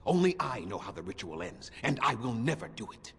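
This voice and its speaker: Casting: ancient vengeful elf leader, fantasy game, grave, formal, highly animated pitch, noisy ambience behind. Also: male voice, a little fast. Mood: scornful, defiant.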